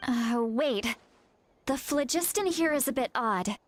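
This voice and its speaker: Proud, irritable shaman granny who sounds young, fairly high voice, huffy muttering, anime game, some background noise. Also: expressive, a little slow. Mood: focused. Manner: muttering to herself, huffy, hesitant starts. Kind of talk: anime video game voice acting.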